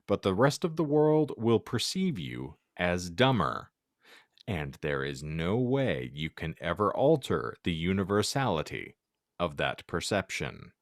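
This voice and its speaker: A man's voice: sits low, no room sound, studio quality. A man hosting a casual podcast with a theatrical, mock-solemn turn of phrase. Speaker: podcast host. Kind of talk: casual banter and hypothetical read-out.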